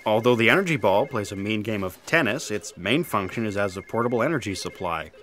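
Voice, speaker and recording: A man's voice: fairly low pitch, lively intonation, fairly quick, fairly bright tone. An actor playing a patient, wry male broadcast assistant who explains things in comic sci-fi audio theatre. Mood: patient, explanatory.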